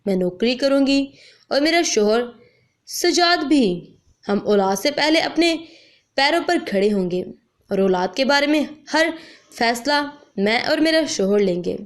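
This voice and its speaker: A woman's voice: sits high, lively intonation, measured pace. A woman storyteller voicing a proud, blunt, outspoken young village bride-to-be. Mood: defiant.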